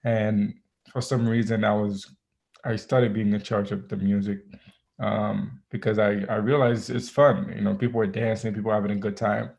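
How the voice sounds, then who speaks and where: A man, a guest DJ chatting casually in an interview, relaxed and full of ums and restarts. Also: fairly low pitch, moderate pace, gravelly. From Haiti. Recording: dull lo-fi audio.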